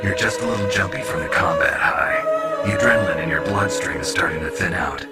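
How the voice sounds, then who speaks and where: An actor playing a gruff, battle-hardened soldier in a video game, with a gravelly, curt and world-weary delivery. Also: male voice, sits low, brisk, natural voice. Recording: dramatic music behind the voice.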